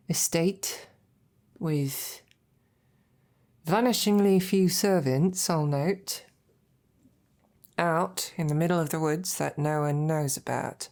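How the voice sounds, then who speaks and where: A woman playing a devoted, self-doubting female royal knight in an intimate roleplay audio, speaking in a hesitant, informal, tender way with a low, gravelly voice. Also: a little mellow. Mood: teasing, affectionate.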